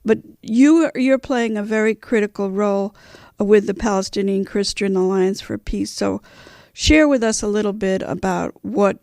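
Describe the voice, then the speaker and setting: Radio host, gravelly voice, dry close sound, easygoing questioning. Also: mid-range voice, moderate pace, a little mellow, no room sound. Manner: gravelly, conversational, prompting. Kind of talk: radio interview.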